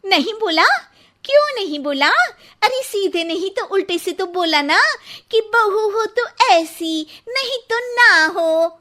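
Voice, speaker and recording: A woman's voice: very high voice, expressive, slow, pushed and bright, full character voice. A woman voicing a quarrelsome young daughter-in-law in a cartoon, taunting and bickering. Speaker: voice actor. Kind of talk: cartoon voice acting.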